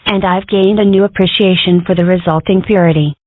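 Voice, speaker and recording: A woman's voice: lowish voice, steady tone, fairly quick, dry recording, lightly acted. A woman voicing a brash, eccentric cartoon schoolgirl who declares things bluntly and theatrically in an amateur comic dub. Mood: self-assured. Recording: thin, phone-like lo-fi audio.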